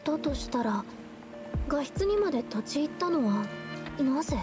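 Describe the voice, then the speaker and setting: Anime game role: a shy, courteous young female artist, high girlish tone, gentle careful delivery, music underneath. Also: big pitch swings. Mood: thoughtful, explaining. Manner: polite, measured, hesitant. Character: polite, timid young woman artist playing detective. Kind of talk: Japanese anime game voice acting. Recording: music behind the voice.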